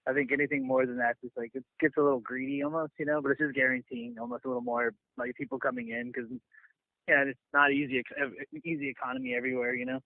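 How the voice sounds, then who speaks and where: A man being interviewed as a touring bassist, chatting casually and loosely in a laid-back way over a lo-fi phone line. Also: lively intonation, fast talker, creaky, dry recording. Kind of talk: casual chat.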